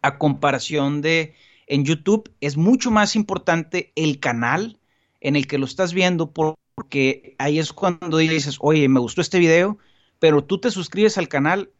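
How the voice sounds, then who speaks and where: A man talks at length in an earnest, rambling way, circling back with restarts and fillers. His voice sits slightly high, and the recording sounds dull and lo-fi. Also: a little slow. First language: Spanish. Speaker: guest. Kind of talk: casual podcast conversation.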